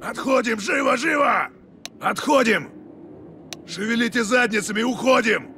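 A man voicing a gruff, commanding special forces soldier giving terse, urgent radio commands in a video game. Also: very high voice, a little slow, bright, forceful tone, raised voice, a little hiss or hum, exaggerated voice. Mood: urgent, shouting. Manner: terse, urgent, barked military callouts.

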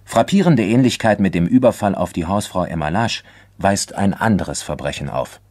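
A man narrating a crime radio play as a sober chronicler, formal and measured. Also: sits low, expressive, darkish tone, no echo, studio quality. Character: sober male narrator of a true-crime case. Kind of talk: scripted documentary crime radio-drama narration.

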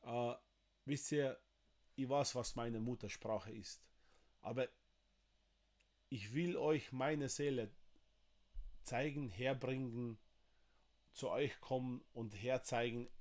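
Emotional Slovenian-accented man, halting. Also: medium pitch, darkish tone. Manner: emotional, halting, many fillers. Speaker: livestream host. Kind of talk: livestream appeal to viewers. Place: wood-panelled room indoors.

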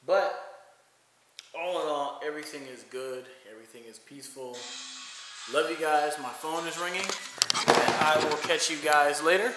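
A man's voice: a little high, fairly even intonation, measured pace, pauses often. A man in his thirties casually vlogging at home, rambling with lots of fillers, filmed on a handheld phone camera. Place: home bedroom and living room, indoors. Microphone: handheld phone camera at arm's length. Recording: very echoey bare room, faint noise.

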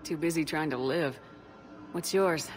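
A woman's voice: low voice, a little slow, low-quality recording. A woman playing a tough, wry military commander and loyal friend in a video game, teasing in a dry, understated way. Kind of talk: video game dialogue acting.

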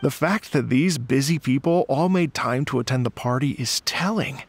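A man's voice: mid-range voice, normal speed, breathy edge. A man voicing a courteous, formal musician in a video game.

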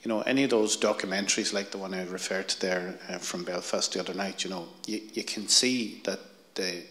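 A middle-aged Irish man on a conference panel, speaking in a measured, explanatory way into a handheld mic. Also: sits low, steady tone, a bit bright. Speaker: panelist, union general secretary. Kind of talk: panel discussion.

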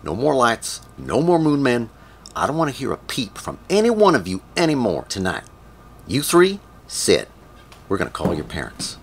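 An actor playing a weary, exasperated small-town sheriff with gruff, folksy authority in an audio drama. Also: male voice, mid-range voice, wildly swooping pitch, normal speed, slight background noise.

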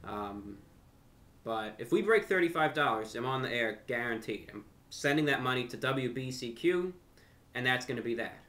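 Male, young adult, American. Bright, sharp-edged voice, mid pitch; earnest casual vlog host talking straight to camera. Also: lively intonation, normal speed. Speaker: host of a video channel and radio show. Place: furnished room at home.

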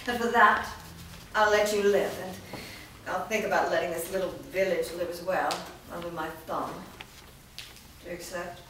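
A woman's voice: on the low side, fairly even intonation, pushed and bright, slight background noise. A cold vampire queen. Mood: menacing. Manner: slow, threatening, deliberate delivery. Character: ruthless female vampire master, cold and commanding. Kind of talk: staged reading. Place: large studio room with actors in a circle. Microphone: far camera. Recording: roomy echo from far off.

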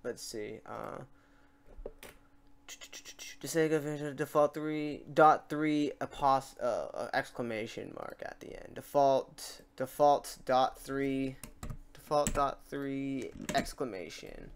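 A man hosting a casual software tutorial, rambling and thinking aloud as he works. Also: mid-range voice, slow pace. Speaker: tutorial host. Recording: clearly noisy mic, keyboard clicks. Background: typing and mouse clicks.

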